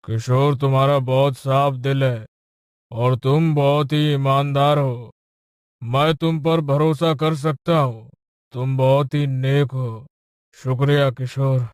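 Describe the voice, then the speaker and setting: A man's voice: mid-range voice, fairly even intonation, slow, clean tone, dry recording, clean studio sound, natural voice. A man voicing a stern, commanding rich landowner in a cartoon story. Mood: warm praise. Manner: commanding, accusing.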